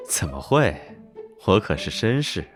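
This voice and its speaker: An actor playing a suave, teasing young gentleman investigator in an animated drama, smooth-talking and nonchalant. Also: low voice, measured pace, soft background music. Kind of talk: Chinese animated drama dubbing.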